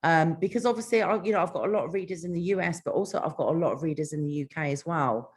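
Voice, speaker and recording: A woman's voice: low voice, rapid-fire, husky. A British woman, an author, who reads fiction aloud and chats casually about it. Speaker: author and show host, guest. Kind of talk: casual chat and reading own fiction aloud.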